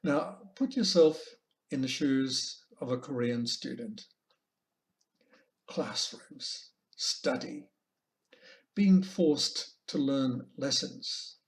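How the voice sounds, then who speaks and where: Slow-paced Australian man giving a lecture. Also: mid-range voice, expressive. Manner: measured, deliberate, halting with pauses. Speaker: language teacher giving a conference presentation. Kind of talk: informal online lecture. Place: online video call presentation.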